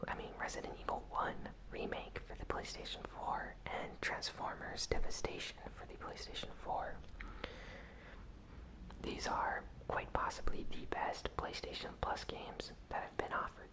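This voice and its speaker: A host whispering a news recap in ASMR style, softly and with gentle pauses. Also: rough recording. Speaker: host of a gaming news channel.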